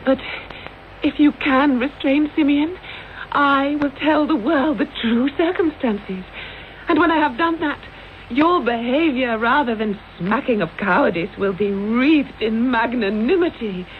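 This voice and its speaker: Earnest lady, high voice, tinny. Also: a little slow. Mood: earnest, persuasive. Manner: articulate, persuasive, formal phrasing. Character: Miss Moriarty, earnest, eloquent, pleading young lady. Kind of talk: 1980s radio drama acting. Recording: thin phone-like, noisy old radio recording.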